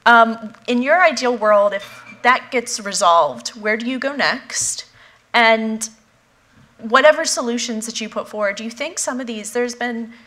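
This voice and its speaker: A woman in her thirties moderating an onstage conference conversation, asking measured questions with frequent ums. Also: fairly high pitch, sharp tone. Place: conference stage before an audience.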